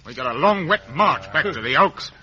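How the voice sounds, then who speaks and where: An actor playing a brisk, commanding army sergeant who barks and blusters in a 1950s radio drama. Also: male voice, on the high side, animated, close to an everyday voice. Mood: barking orders. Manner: commanding, barking. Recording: noisy, dull vintage radio broadcast.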